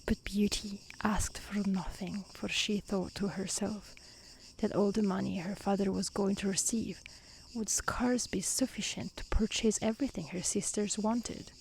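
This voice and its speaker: Slow, soft-spoken woman reading, creaky voice. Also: mid-range voice, fairly even intonation, low-quality recording. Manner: gentle, hushed, often slipping toward a whisper. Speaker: storyteller reading fairy tales aloud. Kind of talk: soft-spoken ASMR fairy-tale reading. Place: outdoors in nature. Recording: faint outdoor hiss with crickets and insects.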